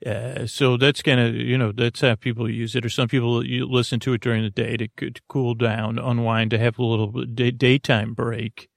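Creaky low-ish gravelly guy doing a bedtime podcast, rambles and trails off, soothing, dry close sound. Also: little pitch movement, no room sound. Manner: meandering, halting, soothing, often breaks off mid-thought. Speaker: podcast host.

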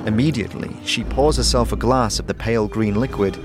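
A man's voice: lowish voice, brisk. A man narrating a scripted fantasy audio drama in a dramatic, second-person storytelling style. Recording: music behind the narration. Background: music.

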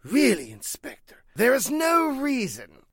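A man playing a pompous, evasive spiritualist leader in a 1940s-style radio drama, speaking formally and haltingly. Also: extremely high pitch, huge pitch swings, fairly bright tone, husky, dry recording, studio quality, full character voice. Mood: evasive, offended.

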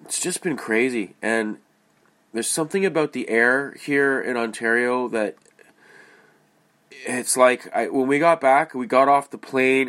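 A man's voice: medium pitch, fairly bright tone. A man with a stuffy, congested voice hosting his podcast in a rambling, casual way, full of fillers and restarts. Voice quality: nasal, stuffy. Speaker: podcast host. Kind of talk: casual solo podcast monologue.

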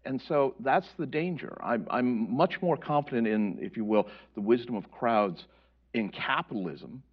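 A man speaking as a panelist in a policy discussion, explaining his points in an animated way. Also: medium pitch, normal speed. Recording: dull lo-fi audio.

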